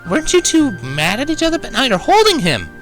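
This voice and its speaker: Man doing a very high, hyper, bubbly girl pony. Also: wildly swooping pitch, quick pace, over music, heavily acted. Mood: excited, curious. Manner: giggly, gushing, playful. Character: hyper, bubbly, affectionate young female cartoon pony.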